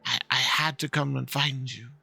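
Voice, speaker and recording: A man voicing a gentle, sorrowful ghostly fox woman who speaks slowly and tenderly in a game dialogue reading. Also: mid-range voice, fairly even intonation, gravelly, breathy edge, no room sound, full character voice.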